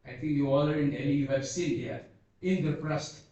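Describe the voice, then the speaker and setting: A man whose first language is Bhoti gives an unscripted seminar talk, halting and searching for words as he speaks slowly and earnestly. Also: a little high, steady tone, dark tone. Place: seminar hall. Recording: echoey hall, distant dull mic.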